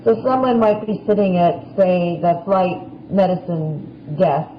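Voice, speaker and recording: Very high-voiced man, dull noisy audio. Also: unhurried. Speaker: expert podcast guest. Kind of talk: casual explanation. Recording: dull audio with faint noise.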